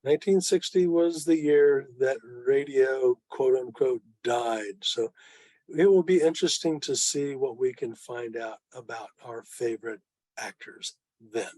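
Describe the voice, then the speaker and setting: A man's voice: mid-range voice, measured pace, darkish tone, no echo, rough recording. An older man in his seventies presenting informally to a club on a video call, with a wry, self-deprecating manner. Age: 70s. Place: online video meeting.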